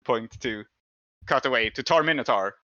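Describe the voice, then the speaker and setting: A man running a tabletop game, narrating in a halting, conversational way as he thinks aloud. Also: medium pitch, sharp tone, no echo, lo-fi sound. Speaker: game master.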